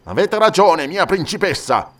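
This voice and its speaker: Man putting on a high-voiced, foppish proud count. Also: sing-song, normal speed, fairly bright tone. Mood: courteous. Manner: affected, courtly, indignant. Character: foppish, proud, easily offended aristocratic count.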